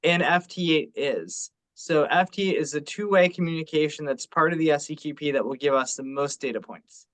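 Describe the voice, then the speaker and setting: A man's voice: fairly high pitch, normal speed, hardly any pauses, a bit bright. A man talking casually in an online meeting, explaining things as an organizer and teacher. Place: remote teleconference call.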